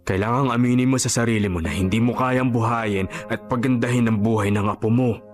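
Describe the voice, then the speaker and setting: An actor playing a frank, concerned vendor friend in Filipino radio drama style, blunt, earnest and admonishing. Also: male voice, medium pitch, steady tone, brisk, soft background music, close to an everyday voice. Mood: blunt, insistent.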